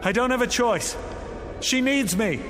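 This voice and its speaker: A desperate father in a radio play, his voice very high and urgent. He speaks in slow, determined calls that ring through a long reverb. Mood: anxious, determined. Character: desperate father searching for his lost young daughter. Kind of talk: radio drama acting. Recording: long cavernous reverb, loud music underneath.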